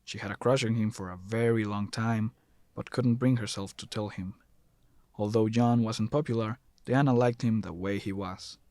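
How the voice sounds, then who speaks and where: A man's voice: on the low side, fairly even intonation, moderate pace, a little mellow, dry recording. A man reading scripted narration for an audio drama.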